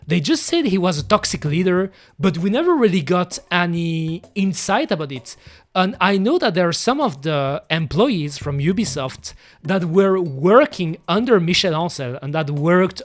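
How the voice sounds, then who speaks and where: Gaming streamer, English, loose rambling chatter full of fillers and casual cursing. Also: medium pitch. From Belgium. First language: French. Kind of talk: casual chat while playing.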